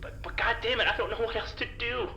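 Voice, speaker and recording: A woman's voice: a little high, fast, bright, forceful tone, dull audio. A woman playing a conflicted, anxious guard in an audio drama, hesitant and prone to stammering. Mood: distressed. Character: conflicted, anxious, soft-hearted guard Vic. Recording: roomy sound with faint noise.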